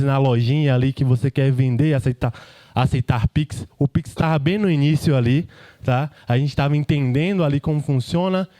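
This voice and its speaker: A man's voice: a little high, dark tone, dry recording. A Brazilian man giving an informal, jokey tech meetup presentation in colloquial speech full of tag questions. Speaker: engineering team speaker.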